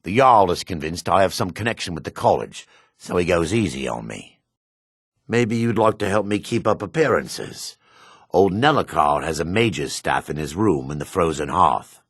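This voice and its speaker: A man voicing a gruff, wary male dark elf townsman in a fantasy video game, with a low, husky voice. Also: lively intonation, normal speed, no room sound, studio quality, heavily acted. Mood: sly.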